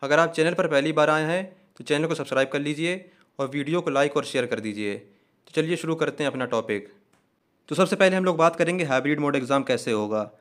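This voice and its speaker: Higher-voiced man explaining updates step by step. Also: a little fast. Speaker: host of an exam news channel. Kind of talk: explanatory exam update narration.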